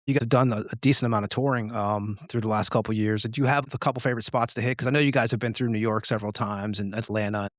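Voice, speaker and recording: Male podcast interviewer, quick and informal, dry close sound. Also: on the low side, fairly even intonation, no room sound. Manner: informal, conversational. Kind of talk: casual interview chat.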